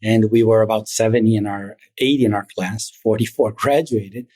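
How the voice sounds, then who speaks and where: A middle-aged man born in Argentina, a private equity founder telling his story in a measured, reflective way as an interview guest. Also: on the low side, fairly even intonation, warm tone. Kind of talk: interview conversation.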